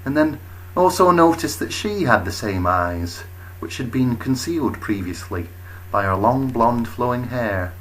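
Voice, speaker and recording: A man narrating a comic first-person story as a storyteller, expressive, with wry, deadpan understatement. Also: medium pitch, a little slow, a little hiss or hum, poor audio.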